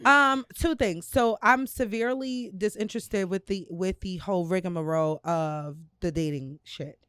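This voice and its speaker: A woman's voice: medium pitch, moderate pace, fairly bright tone, clear, resonant tone, no room sound. A woman, co-hosting a podcast in casual, candid chat with a drawl. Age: 30s. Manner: candid and drawling, with frequent laughs. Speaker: podcast co-host.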